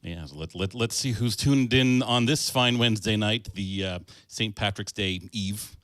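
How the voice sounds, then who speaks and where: Easygoing male co-host, low voice, dry close sound, reads viewer comments. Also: normal speed, a bit bright, no room sound. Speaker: livestream co-host. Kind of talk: casual livestream chat, reading viewer comments.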